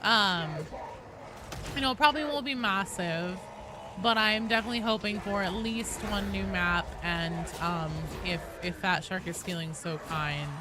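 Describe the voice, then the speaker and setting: A woman live streaming a game, chatting casually and excitably with her viewers and swearing freely, with game sound behind her. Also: mid-range voice, measured pace, over music. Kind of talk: casual live stream chat with gameplay reactions.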